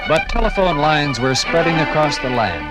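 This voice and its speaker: A man's voice: on the high side, big pitch swings, moderate pace, over loud music. A man with an American accent delivering scripted narration in the style of a 1950s radio documentary.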